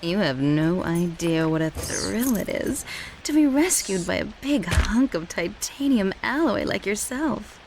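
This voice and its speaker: Creaky-voiced, flirtatious robot starlet. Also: female voice, mid-range voice, expressive, unhurried, noisy background. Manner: coy, flirtatious. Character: sultry, flirtatious robot pop starlet, coy and scheming. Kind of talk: video game cutscene acting.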